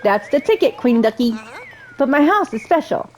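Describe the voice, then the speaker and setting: A woman's voice: sits high, big pitch swings, moderate pace, soft background music. A woman voicing a peppy male cartoon rabbit who talks in exaggerated, chirpy old-timey slang in a video game. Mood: cheerful, eager.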